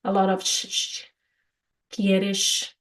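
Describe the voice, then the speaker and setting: A woman's voice: mid-range voice, monotone, rough recording. A woman from Brazil with a Brazilian Portuguese accent, co-hosting a casual English lesson podcast and laughing often between her points. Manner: laughs often, slow and careful. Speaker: English teacher and co-host.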